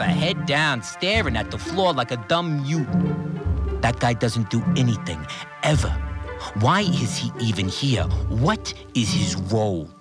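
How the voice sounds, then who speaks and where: A man as an animated, irritable, orderly cleaner. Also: mid-range voice, lightly acted. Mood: exasperated, indignant. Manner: blunt, plain-spoken, indignant, sweary. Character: obsessively orderly, irritable male cleaner, simple-minded rule follower. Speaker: voice actor in a fiction podcast. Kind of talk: first-person audio drama monologue.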